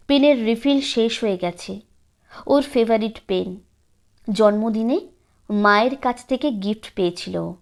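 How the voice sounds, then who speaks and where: Female narrator, higher register, slow measured delivery for literary audio fiction. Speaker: story narrator.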